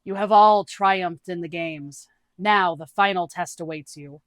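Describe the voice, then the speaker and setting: Solemn elder priest, acted by a narrator, formal, projected, measured, medium pitch, fantasy storybook. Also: a bit bright, dry recording, natural voice. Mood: solemn, commanding. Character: solemn elder priest presiding over contest.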